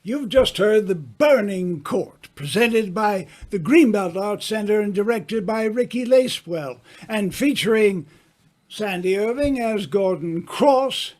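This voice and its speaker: A man's voice: sits high, lively intonation, measured pace, a little mellow. A man announcing the closing credits of a radio drama in a scripted style.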